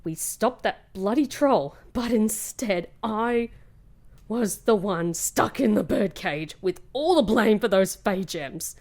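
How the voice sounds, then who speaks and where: A woman's voice: medium pitch, animated, slow pace, darkish tone. Woman acting a dramatic, wounded young woman, swooping voice. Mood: angry, anguished. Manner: emotional, dramatic, British slang. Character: hurt, bitter young woman back from fairy realm captivity.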